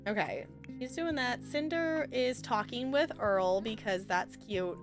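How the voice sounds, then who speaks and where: A woman's voice: on the high side, lively intonation, unhurried, music in the background. A woman streaming a life simulation game with casual, rambling commentary, chatting to viewers and to the game's characters.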